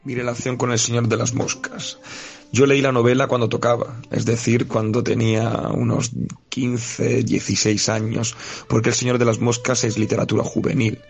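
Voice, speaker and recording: A man with an Italian accent, a guest philosophy teacher, talking in a hesitant way with many pauses and fillers. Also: medium pitch, level tone, gravelly, soft background music. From Italy. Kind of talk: casual spoken commentary.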